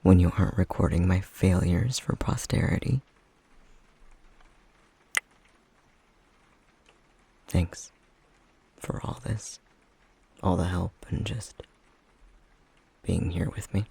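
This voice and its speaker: A man playing an affectionate, self-deprecating young fox-shifter boyfriend in an intimate ASMR roleplay, speaking softly and hesitantly, often half-whispered. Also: sits low, steady tone, measured pace, vocal fry, pauses often, husky, full character voice. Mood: tender, sheepish.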